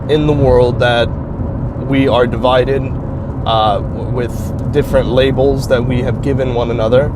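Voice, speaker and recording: Halting, thoughtful man outdoors by traffic. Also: medium pitch. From Turkey. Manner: halting, thoughtful, frequent restarts. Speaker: channel host. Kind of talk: reflective spoken monologue. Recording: clear traffic noise outdoors.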